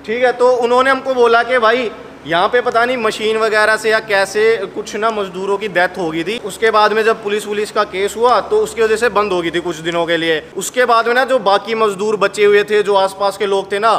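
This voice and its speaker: A young man with a high-pitched voice hosts a casual vlog. He explains things step by step in chatty, colloquial speech. The sound rings in a big empty building, with clear noise behind him. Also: steady tone, a little fast, fairly bright tone. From India. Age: 20s. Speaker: host of a haunted-location exploration vlog. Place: large derelict factory and overgrown grounds at night. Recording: echoey derelict building with clear background noise.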